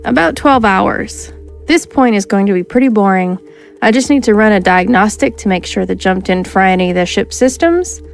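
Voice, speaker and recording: Space-opera audio drama: actress as a wisecracking yellow alien woman pilot, middle pitch, quick teasing lines. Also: gravelly, soft background music, close to an everyday voice.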